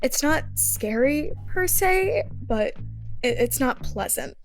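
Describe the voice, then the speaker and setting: A nervous young-sounding shopgirl from a dating game, acted by a woman, who stammers and hesitates. Her voice sits a little high and moves slowly, over soft music. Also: animated, vocal fry, heavily acted, poor audio. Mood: nervous, evasive. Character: shy, nervous, overworked female candy shop keeper. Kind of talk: dating simulator voice acting.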